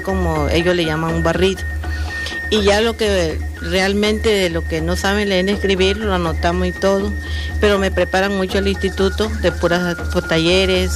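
A woman, a literacy tutor, answering in a radio interview with many hesitant fillers and restarts. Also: mid-range voice. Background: music.

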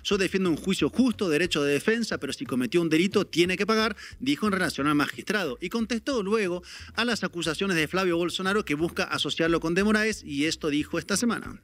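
A man with a fairly high voice talks through the news. His analysis is wry and conversational, aimed straight at the audience. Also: expressive, quick pace, a bit bright. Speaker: news commentator. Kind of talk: news commentary.

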